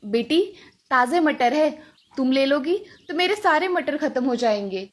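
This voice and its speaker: A woman's voice: sits high, animated, measured pace. A woman voicing an old village vegetable seller in a children's story, coaxing like a street vendor. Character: old village woman selling peas at market.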